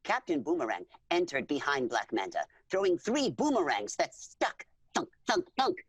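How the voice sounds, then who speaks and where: A woman playing an eager alien storyteller who narrates adventure formally and dramatically, with a husky voice and spoken sound effects. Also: sits low, big pitch swings, moderate pace, dry recording, exaggerated voice. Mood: dramatic. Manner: formal, repeats full names instead of pronouns.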